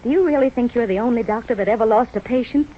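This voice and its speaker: An actress playing a firm, devoted wife with an urgent, insistent manner in an old radio drama. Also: female voice, fairly high pitch, fast, lightly acted. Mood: firm, consoling. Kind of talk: 1930s-40s radio drama acting. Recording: muffled old radio recording, noisy.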